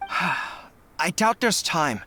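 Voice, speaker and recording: An actor playing a stern young male army general who talks through battle tactics in a measured, commanding way. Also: high-pitched, very animated, bright, forceful tone, exaggerated voice.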